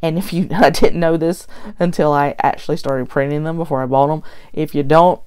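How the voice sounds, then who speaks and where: A woman's voice: sits low, fairly even intonation, brisk, darkish tone, smooth. A middle-aged American woman with a scratchy voice, chatting casually and a bit ramblingly as she hosts a show-and-tell vlog. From United States. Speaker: host of a planner flip-through vlog. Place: indoors at a tabletop. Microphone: tablet filming from above the table.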